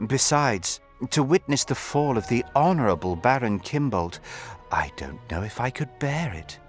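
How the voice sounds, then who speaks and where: A scheming aristocratic count, acted by a man, medium in pitch with lively swoops. His speech is formal, measured and insinuating, set over faint game music. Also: close to an everyday voice. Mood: feigned concern. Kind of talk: video game voice acting.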